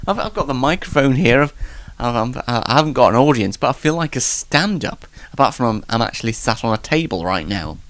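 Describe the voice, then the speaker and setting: A man's voice: mid-range voice, very animated, a little fast, a bit bright, no room sound. A man hosting a casual solo late night talk show, rambling in a jokey, self-deprecating way, slightly stuffed up with hay fever.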